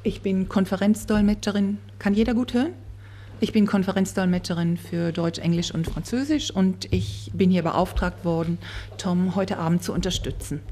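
A woman working as a conference interpreter, turning a speaker's words into steady, fluent speech at a table microphone in a conference room. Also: on the low side, moderate pace, a little hiss or hum. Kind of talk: consecutive interpreting.

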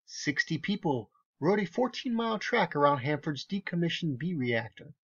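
A man's voice: on the high side, sing-song, moderate pace, a bit bright, husky, muffled recording. A man giving casual, sarcastic commentary over screenshots, with incredulous, emphatic asides. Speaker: video commentator.

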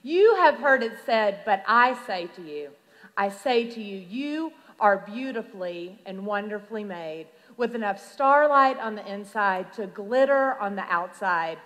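A woman reciting rhythmic slam poetry as a preacher-poet, with emphatic, dramatic delivery and drawn-out phrases. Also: medium pitch, animated, a bit bright. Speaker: reverend performing slam poetry. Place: large hall at a youth conference. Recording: reverberant large hall.

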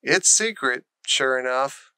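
A man's voice: mid-range voice, expressive, slow, pushed and bright, clear, resonant tone, no echo, full character voice. A man voicing a friendly, eager country boy who loves animals in a storybook reading. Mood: cheerful.

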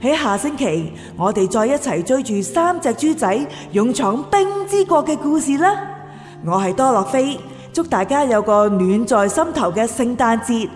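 Woman, radio announcer, high voice, scripted delivery, faint music behind. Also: expressive, moderate pace. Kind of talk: scripted broadcast announcement and credits. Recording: long reverb, echoing hall sound.

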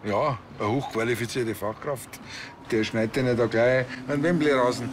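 A man's voice: medium pitch, normal speed, slight background noise, natural voice. An actor playing a cheeky, bumbling village policeman in a TV comedy drama, speaking with a Bavarian accent in a rambling, mock-solemn way. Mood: brisk, cocky.

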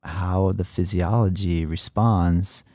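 A man's voice: low-pitched, fairly even intonation, slow, a little mellow, dry recording, studio quality. An American man hosting a podcast interview, talking casually and heaping enthusiastic praise on his guest. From United States. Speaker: podcast host.

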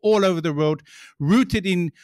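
A man's voice: high-pitched, normal speed, dry recording, clean studio sound. A man with a South African accent hosting a podcast interview, chatty and full of fillers. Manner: chatty, warm-up host with many fillers. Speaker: podcast host.

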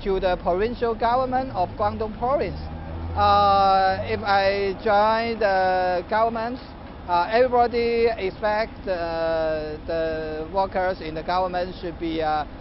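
A middle-aged man, answering a news interview on a city street with many pauses and filler sounds. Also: high-pitched, fairly even intonation. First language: Chinese. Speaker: interviewee, political candidate. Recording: slightly dull street feed, faint traffic.

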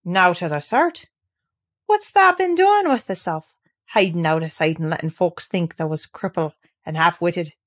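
A woman's voice: on the low side, animated, moderate pace. A woman voicing a crabbed, dry but tender old male gardener with a Yorkshire accent in a storybook. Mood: blunt, indignant. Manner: blunt, dry, exclamatory.

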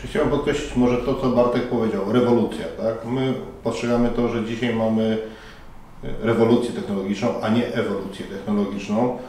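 A man's voice: fairly low pitch, fairly even intonation, a little fast, darkish tone, a little hiss or hum. A middle-aged man giving earnest, persuasive answers as a conference panel moderator, at a conference. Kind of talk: formal interview answers. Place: conference hall by a banner. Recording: echoey room from far off.

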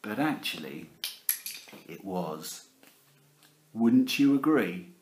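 A man's voice: on the low side, lively intonation, takes it slowly, noisy background. A man in his forties reading a picture book aloud to children in a theatrical, playful way, speaking to them directly in a living room. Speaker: primary school teacher reading aloud. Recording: roomy home camera recording.

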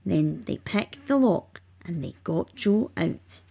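A woman reading rhyming children's narration aloud, expressively and with measured emphasis. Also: lowish voice, lively intonation, vocal fry, mellow, husky. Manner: measured, emphatic on sound words. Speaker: storybook reader.

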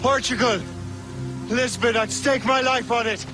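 An actor playing a wry, plainspoken young sea captain in a game cutscene. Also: male voice, very high-pitched, steady tone, a little slow. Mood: excited. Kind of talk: video game cutscene acting. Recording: score music underneath.

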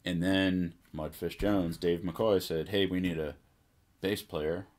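Low-pitched middle-aged man telling stories. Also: fairly even intonation, takes it slowly, a little mellow. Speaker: musician telling his story. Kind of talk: casual storytelling chat. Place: small indoor music studio, seated at a table.

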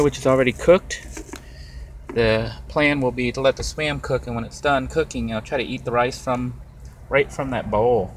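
A man talks to his camera from the cab of a moving van, easygoing and rambling, often trailing into ums. His voice sits at an ordinary middle pitch. A faint hum of the road runs underneath. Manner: relaxed and rambling, many ums. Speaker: van-dwelling vlogger. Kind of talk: casual vlog narration while driving. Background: vehicle road noise.

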